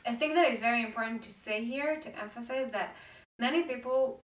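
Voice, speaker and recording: A woman's voice: a little high, brisk. A woman hosting a podcast interview, encouraging her guest with short replies and follow-up questions. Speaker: podcast host. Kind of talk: casual interview chat. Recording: echoey room, distant from the mic.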